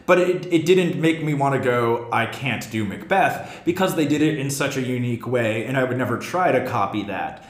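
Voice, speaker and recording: A man's voice: medium pitch, lively intonation, moderate pace, few pauses. A man hosting a casual theater podcast, talking like a director and often acting out imagined remarks. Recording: roomy, echoey room sound.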